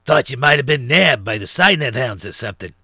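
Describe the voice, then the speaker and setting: Man doing a sly, gravelly underworld fence. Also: medium pitch, lively intonation, fairly quick, bright, forceful tone, no echo, studio quality, exaggerated voice. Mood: chummy, sly. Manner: streetwise gangster slang, chummy and self-assured. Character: short, thin, sly male underworld fence and information broker. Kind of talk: acted character dialogue in a narrated story.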